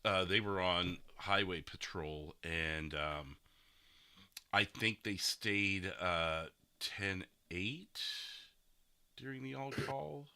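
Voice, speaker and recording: Low-pitched male host, forceful bright tone, casual explanatory livestream commentary, conversational. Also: takes it slowly, clear, resonant tone, dry recording. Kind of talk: casual podcast discussion and commentary.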